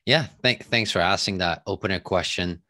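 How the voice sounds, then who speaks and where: A man, telling his story as a podcast guest in a relaxed, chatty way with many fillers. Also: low-pitched, normal speed, no echo, clean studio sound. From Taiwan. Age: 30s. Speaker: podcast guest, real estate investor. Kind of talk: casual interview chat.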